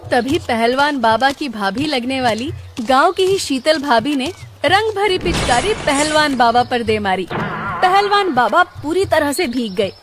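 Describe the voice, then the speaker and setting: A woman's voice: high-pitched, expressive, measured pace. A woman reading scripted storybook narration for a cartoon. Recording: background music under the voice.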